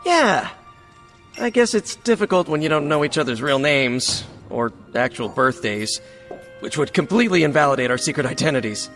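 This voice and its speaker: A man's voice: on the high side, lively intonation, moderate pace, a bit bright. A man playing an eager, nerdy young superhero in a video game cutscene, boyish and rambling. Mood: chatty, cheerful. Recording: long hall reverb, music behind.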